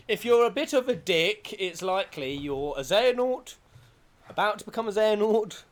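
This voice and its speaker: A man's voice: high voice, expressive, a little slow. A man doing casual live reaction commentary as a game streamer, jokey, sweary and prone to exclaiming.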